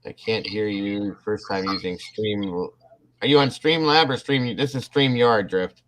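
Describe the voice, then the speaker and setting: A man's voice: fairly low pitch, a little slow, a bit bright, low-quality recording. A man hosting a casual livestream, rambling and restarting his sentences as he talks to his viewers. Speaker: livestream host. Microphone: computer mic on a livestream.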